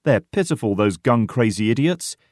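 A man's voice: mid-range voice, lively intonation, moderate pace, darkish tone, no room sound, clean studio sound, close to an everyday voice. A man voicing a carefree, stubborn soldier in a war novel, with a careless, argumentative manner. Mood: careless, cheerful. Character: cocky, carefree, stubborn young male soldier.

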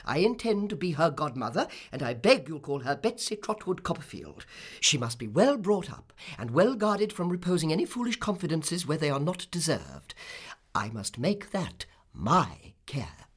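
Man acting high-pitched older lady, imperious, brusque, husky, swooping emphasis, storybook aunt. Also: big pitch swings, moderate pace. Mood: firm, decided. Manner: commanding, abrupt, emphatic.

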